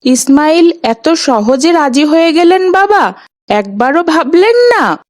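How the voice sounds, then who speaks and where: A woman plays a kind, devout little boy in a children's cartoon. The voice sits fairly high and is recorded dry and close. He speaks earnestly, with a tender heart. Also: lively intonation, takes it slowly, no echo. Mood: earnest, curious. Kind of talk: Islamic children's cartoon dialogue.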